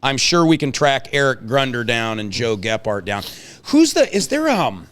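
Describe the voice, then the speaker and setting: A man's voice: medium pitch, very animated, moderate pace, a bit bright, slight background noise. A man hosting a casual talk show, chatting in a folksy way and prodding guests with questions. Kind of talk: casual chat, interviewing guests.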